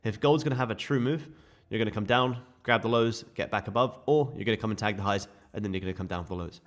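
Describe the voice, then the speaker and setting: A man with a sore, croaky throat, casually walking viewers through live trading charts. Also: fairly low pitch, quick pace. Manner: casual, chatty explaining to viewers. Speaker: day trading streamer.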